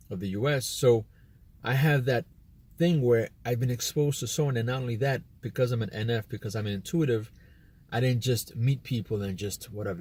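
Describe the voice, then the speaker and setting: American guy rambling on his channel, mellow tone, repeats himself a lot. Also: medium pitch, husky. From United States. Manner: rambling, repeats words, quotes imagined chats. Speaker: video host. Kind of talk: casual monologue to camera.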